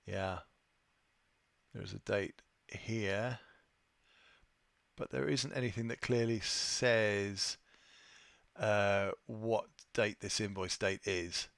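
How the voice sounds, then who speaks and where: A man presenting an unscripted software tutorial, thinking aloud with a hesitant delivery as he walks through his screen. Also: fairly low pitch, steady tone, takes it slowly, vocal fry, long pauses, no echo. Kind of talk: unscripted screen-share walkthrough.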